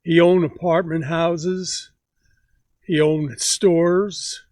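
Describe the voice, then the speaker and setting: Elderly man in his 90s, slow and halting, slightly high voice, dry close sound. Grandfather recounting memories. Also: little pitch movement, darkish tone, no echo. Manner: slow, halting recollection with many pauses. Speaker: grandfather telling stories. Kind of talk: casual family interview.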